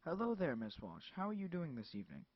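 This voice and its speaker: A man doing a calm, smug, menacing caller. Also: a little high, no room sound, pitched above the performer's own voice. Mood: calm menace. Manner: polite, smiling and slow. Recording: dull lo-fi dry recording.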